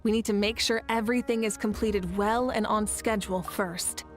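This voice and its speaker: A woman plays a stately, self-assured female leader from a video game. Her voice sits at a middle pitch and she speaks with measured, formal composure. Faint music plays underneath. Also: steady tone, lightly acted. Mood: proud, formal. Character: confident, calm adult female nation leader, stately. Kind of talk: scripted video game dialogue acting.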